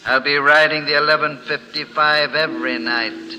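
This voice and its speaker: An actor playing the mocking, ominous ghost of a dead railway engineer in a 1940s-style radio mystery. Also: male voice, a little high, fairly even intonation, measured pace, sharp tone. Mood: mocking, commanding. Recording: reverberant, noisy old radio recording.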